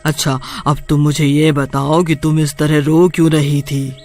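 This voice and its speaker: A woman puts on a kindly witch from a spooky folk tale. She draws her words out soothingly in a low, unhurried voice, over faint music. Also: little pitch movement, a little mellow. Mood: reassuring, amused. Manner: drawn-out, soothing. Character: kindly female witch ghost, slow and reassuring.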